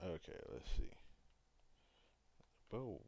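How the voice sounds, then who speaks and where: A hungover man casually chatting with his livestream viewers as he sets up a game, rambling and halting. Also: darkish tone. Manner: rambling, halting, talks to chat while fiddling with setup. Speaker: gaming livestreamer.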